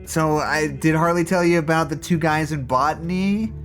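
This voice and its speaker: An actor plays a wry psychologist in an audio drama, nervous and a reluctant gossip. The speech is halting and dry, with small stammers. Music runs behind the voice. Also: medium pitch, close to an everyday voice. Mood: teasing, gossipy. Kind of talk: naturalistic audio drama dialogue.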